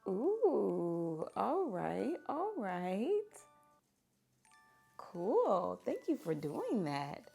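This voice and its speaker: A woman reading picture books aloud to children in an expressive, deliberate teacher's manner, prompting them with questions. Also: medium pitch, creaky, breathy edge, soft background music.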